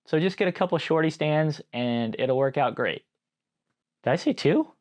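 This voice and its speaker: A man with a somewhat high-set voice hosts an informal explainer. He talks in a conversational, practical way, like a working sound engineer walking someone through equipment choices. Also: lively intonation, moderate pace, darkish tone. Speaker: host, live sound engineer. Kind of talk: casual gear explainer.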